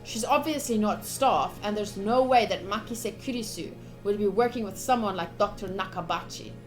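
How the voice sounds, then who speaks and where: A woman streaming a game, reading story text aloud in an expressive, chatty way with casual asides. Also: medium pitch, normal speed. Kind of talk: live visual novel playthrough, reading aloud with casual asides. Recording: soft music behind voice. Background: game music.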